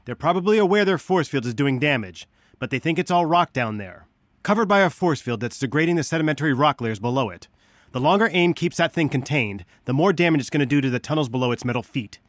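An actor playing an earnest scientist who leads a resistance, guiding heroes through video game missions in a measured, dryly wry way. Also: male voice, a little high, animated, dry recording, clean studio sound, close to an everyday voice. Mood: explaining.

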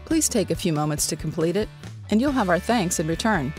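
A woman's voice: on the low side, lively intonation, brisk, darkish tone. A woman reading scripted podcast announcements. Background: guitar music.

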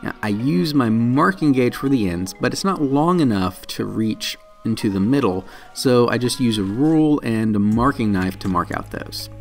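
A man's voice: medium pitch, lively intonation, moderate pace, hardly any pauses. A man in his thirties, a channel host, narrating a woodworking build in a plain, practical and self-deprecating way. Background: soft guitar music.

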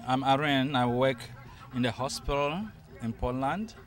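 A man's voice: mid-range voice, steady tone, a little slow. Middle-aged ghanaian guy talking plainly about his charity, outdoors, handheld mic. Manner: earnest, plain-spoken, adds short "yeah". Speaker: nurse and nonprofit organizer. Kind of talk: festival interview. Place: outdoors at a festival stall. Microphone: handheld mic held by interviewer.

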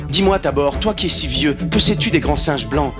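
A man's voice: a little high, expressive, normal speed, music in the background, lightly acted. A man playing a blunt young jungle man raised among animals, in a French cartoon dub. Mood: curious, plain.

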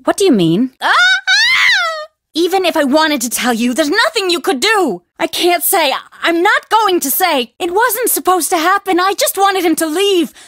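A woman's voice: extremely high pitch, lively intonation, moderate pace, a bit bright. A woman voicing a bossy, competitive character at a dig site who explains a board game. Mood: explaining. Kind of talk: video game voice lines.

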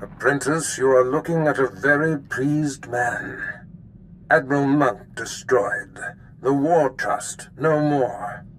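Scheming master villain for a game male actor: medium pitch, pompous, commanding, faint noise behind. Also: slow, natural voice. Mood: gloating, pleased. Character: imperious, gloating Sith lord, scheming master villain. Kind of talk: video game voice acting.

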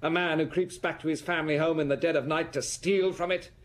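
A man acts a young British count in a radio play, his voice a little high. He speaks formally, like a prodigal son owning up to his disgrace with shame and self-reproach. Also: steady tone, brisk, lightly acted. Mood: ashamed, confessional. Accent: British English. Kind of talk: British radio drama acting.

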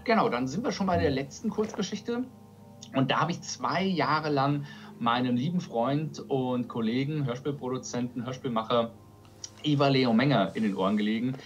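A man's voice: mid-range voice, normal speed, soft background music. Enthusiastic man on a slightly dull recording. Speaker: audio drama producer presenting a release. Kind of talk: enthusiastic talk to camera.

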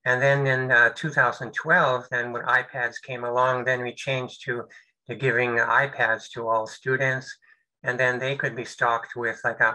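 Bright-voiced man with many fillers. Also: medium pitch, poor audio. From United States. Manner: halting, full of fillers and restarts. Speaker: presenter. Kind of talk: webinar presentation. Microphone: video call.

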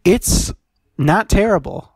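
A man's voice: sits high, expressive, slow, darkish tone, breathy edge, dry recording. A man in his twenties chatting casually as a podcast co-host from home. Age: 20s. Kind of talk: casual chat. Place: room at home on a video call.

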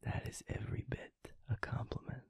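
A young man with an American accent playing an affectionate, teasing werewolf boyfriend in an intimate audio roleplay, in a hushed, breathy, husky voice. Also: no echo, lightly acted. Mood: tender, intimate. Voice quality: breathy, husky, gravelly low whisper. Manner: slow, hushed, intimate, pausing. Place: close, dry studio recording. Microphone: close microphone.

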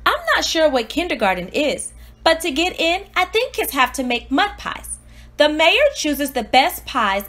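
A precocious storybook baby, acted out by a woman, with a high, bright voice. The delivery is earnest and exclamatory, swooping widely in pitch, full of indignant, eager self-importance and drama. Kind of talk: expressive storybook read-aloud.

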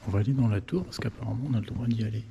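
A man's voice: on the low side, steady tone, racing, vocal fry, lo-fi sound, music in the background. A man in his fifties, a motorcycle vlogger, chatting to the viewer as he explores a church and points things out. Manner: chatty, marvelling, talks to the viewer directly. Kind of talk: casual walking tour commentary. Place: inside a stone church and tower. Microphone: action camera held close.

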